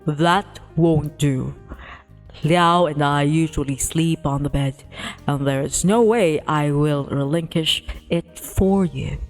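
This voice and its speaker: A woman's voice: low-pitched, slow pace, soft background music, voice pushed down. A woman voicing a haughty, possessive, big male tiger god from a visual novel, speaking in a formal, dismissive, imperious manner.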